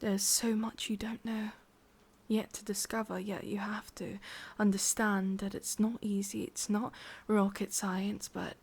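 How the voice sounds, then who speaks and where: A woman with an English accent voicing a sorrowful, obsessive witch in an intimate ASMR roleplay, speaking softly in halting, confiding whispers. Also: mid-range voice, little pitch movement. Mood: solemn, warning. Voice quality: soft, breathy, mostly whispered. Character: sorrowful, obsessive female witch, tender yet menacing.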